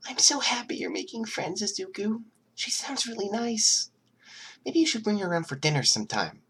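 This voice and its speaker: A performer voicing a gentle, loving, worried mother with a soft, breathy, fretting manner in an amateur audiobook. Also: medium pitch, sing-song, normal speed, a little hiss or hum, pitched above the performer's own voice. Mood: warm, curious.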